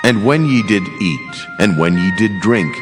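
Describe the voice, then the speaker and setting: Commanding male angel, very low voice, grave declamation, cavernous reverb, music behind, biblical audio drama. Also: animated. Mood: stern. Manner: grave, slow, declamatory pronouncements.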